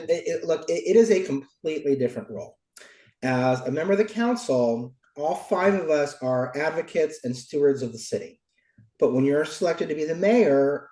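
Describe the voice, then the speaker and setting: Middle-aged American male politician, congested, slightly higher-pitched, answering interview questions in a roomy space. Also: dark tone. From Oakland, California, United States. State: stuffy from a cold, cough drop in mouth. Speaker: local politician, former mayor. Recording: roomy, echoing sound.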